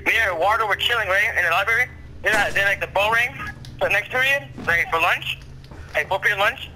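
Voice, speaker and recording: A young man with a high-pitched voice chats casually through a story. He rambles in loose slang with frequent swearing. The recording is a noisy voice chat. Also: brisk, bright, forceful tone. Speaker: storyteller in a gameplay video with friends.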